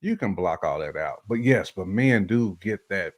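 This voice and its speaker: A man's voice: sits low, lively intonation, moderate pace. An American man chatting casually on a relationship podcast, using slang and repeating phrases for emphasis.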